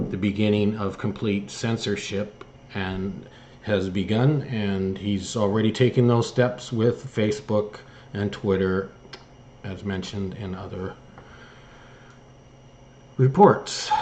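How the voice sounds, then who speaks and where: A man giving political opinion commentary as host, speaking in a halting, hesitant way with phrases that trail off. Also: on the low side, slight background noise. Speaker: host of an online political news commentary show. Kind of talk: political opinion commentary, partly read from articles.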